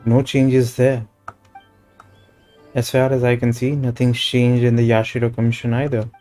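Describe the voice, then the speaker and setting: A man's voice: mid-range voice, monotone, normal speed, mellow. A man with an Indian accent streaming a game, reading dialogue aloud and adding casual comments. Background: game music.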